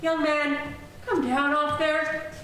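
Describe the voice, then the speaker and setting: A woman's voice: sits high, flat delivery, slow, fairly bright tone. A woman playing a fussy, exasperated female department store floor walker with theatrical, scolding exclamations in old-time radio comedy style. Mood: flustered, appalled. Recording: distant, very echoey room with hiss.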